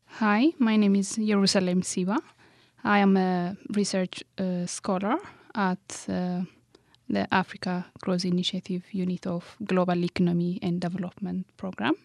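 Female scholar with Ethiopian accent, some vocal fry, dry recording, introducing her research. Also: fairly low pitch, level tone, normal speed, darkish tone, no room sound. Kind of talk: self-introduction about research.

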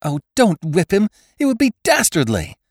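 Audiobook part: male reader doing a pleading young woman, pitched well up. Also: expressive, a little slow, a little mellow, no echo, studio quality. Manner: high, imploring delivery. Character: wealthy young woman rancher, pleading and fearful.

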